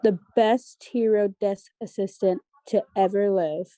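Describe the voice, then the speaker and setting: A woman's voice: medium pitch, fairly even intonation, a little slow, mellow, no echo, lightly acted. A young woman playing a friendly, chatty teenage team PR girl in a table read. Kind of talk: table read of a scripted pilot.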